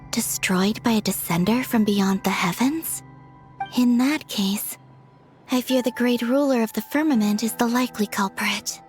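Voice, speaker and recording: A woman voicing a calm, perceptive and gently kind young investigator in a video game, speaking in a measured, thoughtful, soft-spoken way. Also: a little high.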